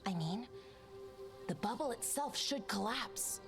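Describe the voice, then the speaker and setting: A woman playing an eager, excitable young elf researcher in a video game, slightly scattered and self-correcting. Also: fairly high pitch, unhurried. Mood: earnest explaining. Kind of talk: video game character acting. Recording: music behind the voice.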